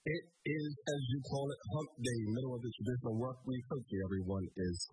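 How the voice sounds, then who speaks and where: A man's voice: mid-range voice, steady tone, quick pace, warm tone, soft background music, poor audio. A man hosting a morning talk show, stuffed up from a cold, chatting loosely with many fillers.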